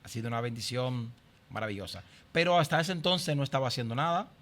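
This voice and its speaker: A middle-aged Dominican man speaks as a guest pastor in an interview. His pitch sits in an ordinary male range, and he tells his own story in a conversational way. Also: expressive, fast, a bit bright. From Santo Domingo, Dominican Republic. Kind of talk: conversational personal testimony.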